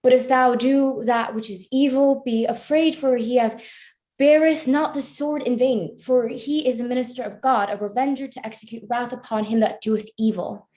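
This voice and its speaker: A woman's voice: fairly high pitch, steady tone, normal speed, warm tone, lightly acted. A woman playing an earnest, devout young woman, speaking formally in a live staged play reading. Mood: firm, devout. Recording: roomy, echoing voice pickup.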